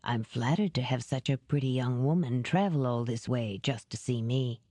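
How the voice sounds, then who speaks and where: A woman voicing a powerful older businessman in an audiobook, courteous, calculating and formal in a measured way. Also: rumbling low, mellow, dry recording, voice pushed down.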